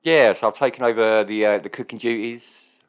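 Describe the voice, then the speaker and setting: A man's voice: lowish voice, steady tone, moderate pace, clean tone. A man, co-hosting a podcast in casual, rambling banter full of swearing and rhetorical questions. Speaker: podcast co-host.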